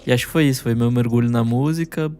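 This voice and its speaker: A man's voice: medium pitch, quick pace, darkish tone. A man in his late twenties talking in a laid-back, reflective way on a casual music podcast. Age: late 20s. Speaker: podcast co-host. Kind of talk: casual chat.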